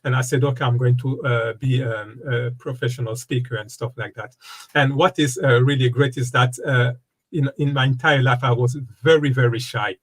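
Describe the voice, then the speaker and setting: A man in his fifties from the Democratic Republic of Congo, a wellness coach speaking as an interview guest, talking in a halting way with many fillers and restarts. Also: mid-range voice, steady tone. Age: 50s. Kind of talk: casual interview conversation.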